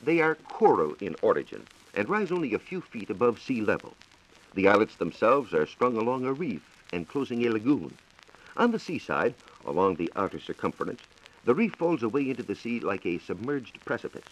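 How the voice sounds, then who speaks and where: An American man giving a scripted 1940s radio science talk as a reporter, in a measured, formal lecturing manner. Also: fairly low pitch, big pitch swings, muffled recording. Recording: 1940s radio broadcast recording.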